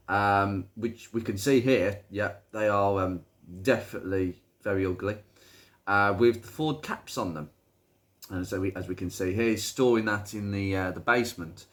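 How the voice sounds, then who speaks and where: A British man with a slightly croaky voice from hay fever, presenting an informal car video in a chatty, hesitant way with rambling asides. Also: on the low side, a little slow. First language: English. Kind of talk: informal car video commentary, reading out a viewer's message.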